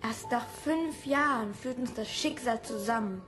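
A woman's voice: a little high, a little slow, over music, lightly acted. A woman playing a small, dreamy and stubbornly hopeful orphan boy in a radio drama, earnest and insistent.

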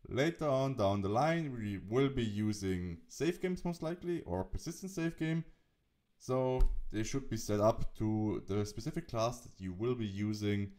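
A man narrating an instructional software tutorial, explaining steps as the presenter. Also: lowish voice.

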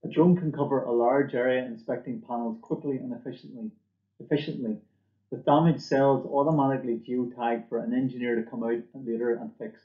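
A man giving a scripted product presentation for a company, in a measured, formal manner, recorded at a desk in a small room. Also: medium pitch, steady tone, echoey room, narrow, tinny audio. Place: small indoor office or lab room.

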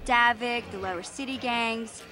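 A high, youthful voice for a streetwise alien girl in a video game. She talks brashly and slangily, full of sass. Music plays clearly behind her. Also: unhurried, bright, forceful tone. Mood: friendly, chatty. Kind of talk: video game character dialogue.

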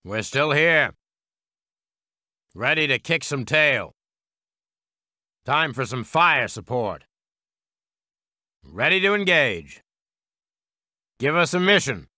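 An actor playing a gung-ho American World War Two heavy weapons soldier in a strategy game, with a clipped military delivery. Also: male voice, mid-range voice, big pitch swings, slow pace, pauses often, sharp tone, no echo, clean studio sound, full character voice. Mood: confident, brisk.

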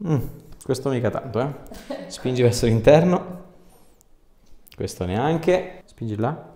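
A man's voice: medium pitch, lively intonation, slow, dark tone, reverberant. A man, working as an osteopath and giving short, reassuring, casual instructions during a hands-on exam in a small treatment room. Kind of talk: casual hands-on exam talk, ASMR video.

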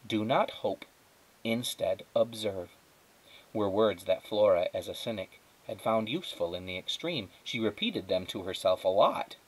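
A man's voice: deep voice, expressive, normal speed, few pauses, low-quality recording. A man reading a children's storybook aloud as a teacher, lightly acting out the dialogue.

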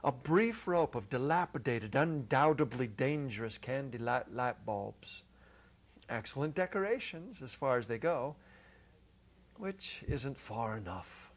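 Male narrator, middle-aged, medium pitch, unhurried and deliberate, for expressive literary storytelling. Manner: expressive, deliberate, with dramatic pauses. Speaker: narrator, reader. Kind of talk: dramatic reading of a literary short story. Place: indoor set, seated at a music stand. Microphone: clip-on lapel.

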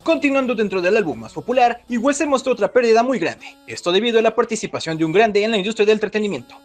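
Male video host, high register, very lively intonation, expressive scripted narration. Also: fast talker.

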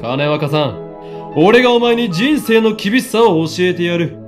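A man's voice: high-pitched, expressive, a little slow. An actor playing a pompous, paranoid young man who styles himself a mad scientist, in a visual novel. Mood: stern, haughty. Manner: theatrical, pompous. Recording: music behind the voice.

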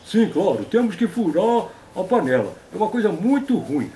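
A man's voice: high-pitched, sing-song, normal speed, dark tone, a little hiss or hum, poor audio. A man voicing a boastful, pompous old grandpa puppet in a comic interview. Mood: boastful, grumbling. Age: older. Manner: pompous, folksy, repeats a stock affirmation.

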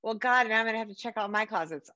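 A middle-aged American woman hosting a casual interview over a video call, effusive and quick to poke fun at herself. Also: a little high, bright, forceful tone, dry recording, dull audio. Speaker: podcast host interviewing an author. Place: book-lined room at home, indoors.

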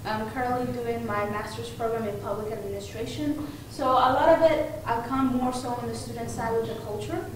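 A woman's voice: a little high, steady tone, a little fast, hardly any pauses. A young woman from Mexico whose first language is Spanish gives an informal conference talk with frequent fillers and restarts, standing in a conference room. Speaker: conference presenter. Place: conference room with projector screen. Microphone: room mic heard from a distance. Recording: echoey room, distant mic, background noise.

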